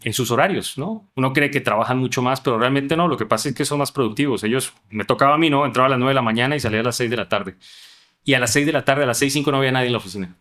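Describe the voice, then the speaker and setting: A Colombian man speaks as an interview guest at a quick clip. His voice sits at a medium pitch, and he explains his points conversationally, often checking in with little question tags. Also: a bit bright. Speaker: podcast guest, international businessman.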